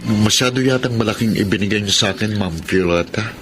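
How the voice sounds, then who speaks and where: An actor playing a cocky, money-minded young accountant in a radio drama, casual and self-assured. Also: male voice, lowish voice, a little fast, loud music behind the voice, close to an everyday voice. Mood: hesitant, polite. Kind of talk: Filipino radio drama acting and narration.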